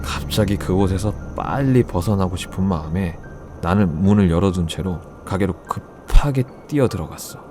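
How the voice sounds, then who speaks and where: A man narrating a first-person horror story in a casual, conversational storytelling way, with deliberate phrasing. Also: low voice, lively intonation. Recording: background music under the voice. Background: music.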